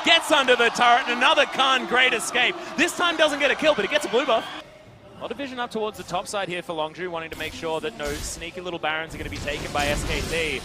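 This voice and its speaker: A man doing live esports play-by-play as a commentator, excited and shouting at the big plays. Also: sits high, quick pace. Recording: loud music and crowd behind voice. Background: music, cheering crowd.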